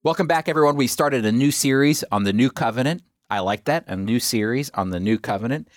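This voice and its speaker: A man's voice: mid-range voice, lively intonation, brisk, dry recording, clean studio sound. A man teaching the Bible on a radio program, emphatic, with rhetorical asides to his listeners.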